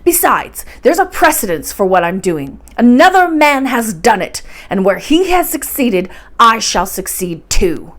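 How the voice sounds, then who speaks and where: A woman's voice: a little high, big pitch swings, unhurried, hardly any pauses. A woman voicing an irascible, confident older professor uncle from a storybook, speaking emphatically and brusquely as he lectures. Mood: angry, defiant.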